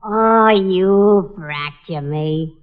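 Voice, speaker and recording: Brassy, flirty radio dame. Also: female voice, fairly low pitch, clear, resonant tone. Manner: drawn-out, familiar, teasing. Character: flirty, brassy barfly woman. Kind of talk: old-time radio drama acting. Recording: dull vintage radio recording.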